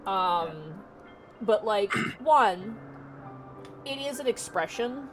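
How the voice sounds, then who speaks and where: A woman with a naturally low voice, rambling casually with lots of fillers while streaming. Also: expressive, extremely slow, faint music behind. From Canada. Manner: rambling, many fillers and restarts. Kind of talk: casual chat on a livestream.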